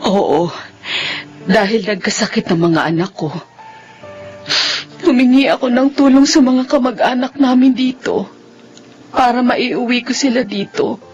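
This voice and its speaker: A woman's voice: a little high, unhurried, long pauses, darkish tone, highs cut off, faint music behind, lightly acted. An actress with a Filipino accent playing a humble, struggling widowed mother in a radio drama, hesitant and emotional. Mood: humble, moved.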